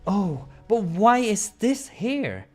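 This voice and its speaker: Man acting a young male cat adventurer, raised pitch, curious, excitable, naive, game dialogue over music. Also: slow, heavily acted.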